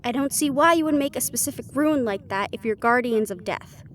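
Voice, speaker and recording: Woman playing a high-voiced, confiding half-banshee heroine. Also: fairly quick, fairly bright tone, slight background noise, close to an everyday voice. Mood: businesslike. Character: young female half-banshee siren, worried, wry, secretly vulnerable. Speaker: audio roleplay voice actor. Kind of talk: intimate scripted audio roleplay. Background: background music.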